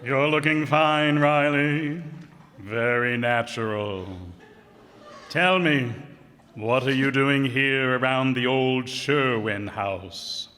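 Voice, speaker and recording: Slow, solemn man playing a morbid undertaker, echoey hall. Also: mid-range voice, pushed and bright. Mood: solemn courtesy. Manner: slow, solemn, formal delivery. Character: morbid, genteel male undertaker with macabre wit. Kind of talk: old-time radio comedy acting. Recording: echoey hall stage performance.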